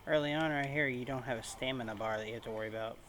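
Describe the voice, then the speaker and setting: A man's voice: medium pitch, racing, a bit bright, a little hiss or hum. A man giving casual, sarcastic let's play commentary, grumbling impatiently as he plays a video game. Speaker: gaming video creator playing and commenting.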